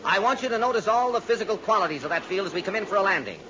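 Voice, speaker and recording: Urgent, fast-talking American man, old radio. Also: high-pitched, animated, pushed and bright. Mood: brisk, instructing. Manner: urgent, emphatic, persuasive. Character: brisk, insistent male insurance adjuster and pilot. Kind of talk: 1940s-50s radio drama acting. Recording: muffled, noisy old radio recording.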